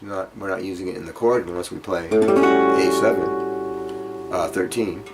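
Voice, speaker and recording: Low-voiced man teaching guitar. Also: steady tone, rough recording. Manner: halting, thinking aloud while demonstrating on guitar. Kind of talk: informal instructional music lesson. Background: acoustic guitar playing.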